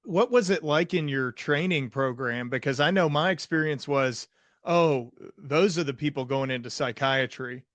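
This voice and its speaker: A man's voice: fairly high pitch, normal speed, a bit bright, dull audio. An American man chatting casually as the host of an interview podcast.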